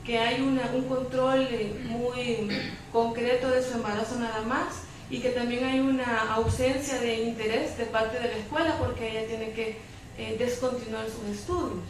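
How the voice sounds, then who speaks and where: A Salvadoran woman giving spontaneous commentary as a panelist at a public debate, speaking hesitantly with pauses between phrases. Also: fairly high pitch, fairly even intonation. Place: public debate room, picked up from afar. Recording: distant, very echoey room with clear noise.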